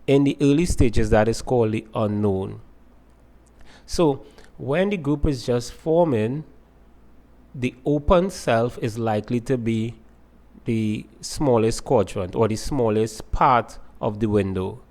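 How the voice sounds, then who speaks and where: A male lecturer with a voice of ordinary middle pitch. He explains concepts methodically and builds lists by repeating the same opening words. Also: slow pace, a little mellow. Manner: explains step by step with repeated phrasing. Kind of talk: recorded lecture to students.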